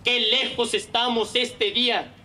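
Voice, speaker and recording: A young man in his late teens with a northern Mexican accent, giving a solemn, emphatic declamatory speech with deliberate rhetorical pauses. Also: extremely high pitch, little pitch movement, pushed and bright. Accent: northern Mexican Spanish. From Sonora, Mexico. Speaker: student orator.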